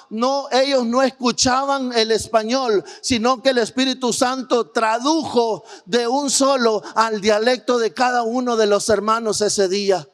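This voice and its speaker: High-pitched male preacher in a reverberant hall. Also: a bit bright. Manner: earnest, addresses the congregation with rhetorical questions. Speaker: preacher and missionary. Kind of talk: sermon with personal missionary testimony. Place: large church hall, preaching to a congregation. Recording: church hall with long reverb.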